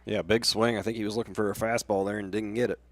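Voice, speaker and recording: An American man offers casual color commentary during a live baseball game. His voice is a little on the low side, and he talks like an analyst explaining each play. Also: level tone, quick pace, slight background noise.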